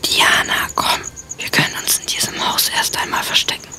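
A woman's voice: normal speed, bright, forceful tone, whispered. A woman playing a bold, urgent young runaway boy in an audio drama. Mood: hushed, urgent.

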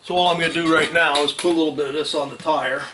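A man's voice: fairly high pitch, fairly even intonation, quick pace. A middle-aged man giving a casual hands-on tutorial in a garage, talking in folksy, salty slang as he works, picked up a few feet from the camera. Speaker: mechanic, host of a how-to video. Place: garage with open door. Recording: clanking metal tool noise.

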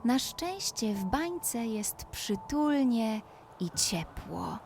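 A woman narrating a scripted bedtime story in a gentle, soothing manner, as if guiding a child toward sleep. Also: on the high side, big pitch swings, extremely slow, creaky. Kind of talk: slow scripted bedtime story with guided relaxation. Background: background music.

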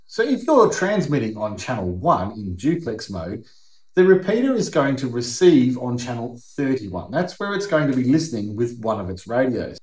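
Middle-aged man, Australian accent, mid-pitched voice, emphatic explainer, roomy indoor sound. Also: darkish tone. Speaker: YouTube host. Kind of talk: explainer to camera, conversational. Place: indoor workshop or garage.

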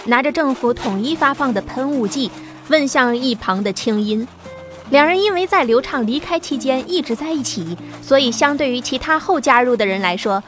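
Brief: woman audiobook narrator, high voice, steady storytelling, soft music underneath.

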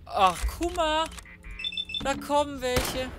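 A man's voice: very high voice, animated, takes it slowly, over music. A man giving casual gaming commentary, thinking aloud in a colloquial, cheeky way. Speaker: let's player.

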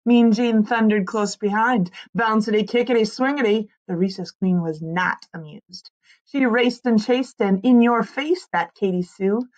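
A woman in her thirties reading a children's story aloud like a teacher, expressively, with dramatic drawn-out emphasis on key words. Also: mid-range voice, a little mellow, rough recording. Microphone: computer mic on a screen-share video recording.

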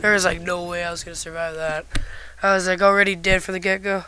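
A congested-sounding gamer giving casual, sweary gameplay commentary with blurted, excitable reactions. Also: medium pitch, fast, clear, resonant tone. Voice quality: congested, stuffed-up nose. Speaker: video game player recording commentary. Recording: gunfire and game noise behind voice.

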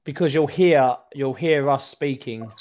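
A man's voice: medium pitch, unhurried, darkish tone. A middle-aged British man, an online English tutor, talking casually over a video call on a headset and often repeating words as he starts a thought. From United Kingdom. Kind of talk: casual conversation lesson. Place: small room at home.

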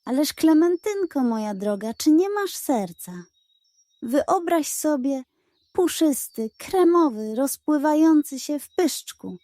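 A greedy little storybook pug, played by a woman, schemes in a high, sugary coo. He wheedles and flatters theatrically, drawing his words out in a close, dry recording. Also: takes it slowly, no echo. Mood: wheedling. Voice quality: cooing, wheedling. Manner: dramatic, coaxing delivery with diminutives. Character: sly, greedy little male pug, sweet-talking schemer.

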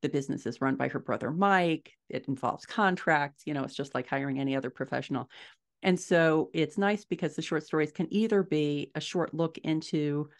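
Low-voiced middle-aged woman explaining. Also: normal speed. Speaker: podcast guest, fiction author. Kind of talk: conversational interview, explaining. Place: indoors at home, small furnished room. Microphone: video call.

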